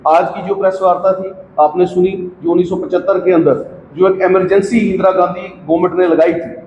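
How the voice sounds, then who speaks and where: A man's voice: sits high, darkish tone. A man formally and courteously thanking the press on behalf of a party, somewhat repetitively. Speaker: party representative closing press conference. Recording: dull sound, echoey reverberant room, faint noise.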